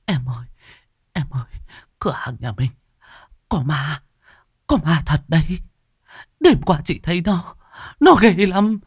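Man voicing a terrified, pleading shopkeeper woman, pitched high. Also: animated, measured pace, long pauses, slightly rough, no echo, clean studio sound, exaggerated voice. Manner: stammering, breathless pleading. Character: terrified shop owner woman, shaken, pleading, weary.

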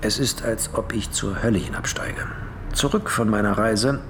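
An actor playing a weary, fatalistic man answering an interview in a radio play, halting and sombre. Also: lowish voice, noisy background, natural voice. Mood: sombre, resigned.

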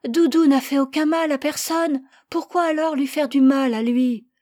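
A woman's voice: high voice, fairly even intonation, moderate pace, warm tone, voice pushed up, lightly acted. A woman voicing a tender-hearted, stubborn little boy who asks earnest, pleading questions.

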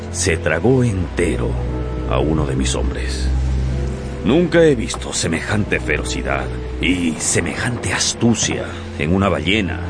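A superstitious old-world ship's captain in a radio drama. His voice is deep and emphatic, awed and full of warning, over prominent music. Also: exaggerated voice.

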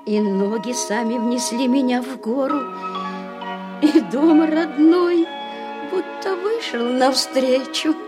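An actress playing a tender, joyful old peasant wife in a Russian audio fairy tale, speaking in a cooing way. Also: female voice, high voice, slow. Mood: wondering, happy. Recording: studio radio play with music behind.

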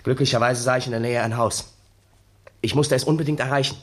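An actor playing a haunted, bitter young ex-soldier, telling his story wearily and dramatically in a gravelly, husky voice for a 1960s German radio play. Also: mid-range voice, steady tone, fairly quick, close to an everyday voice. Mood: haunted recollection.

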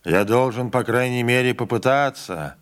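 A man voicing a middle-aged male train conductor who shouts urgently over the noise. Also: medium pitch, normal speed, pitched above the performer's own voice, lightly acted. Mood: desperate.

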